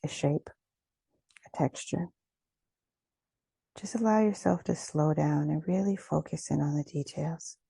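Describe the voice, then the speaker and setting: A woman leading a guided meditation in a gentle, soothing, unhurried manner, with a soft, breathy voice and pauses between phrases. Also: deep voice, no echo.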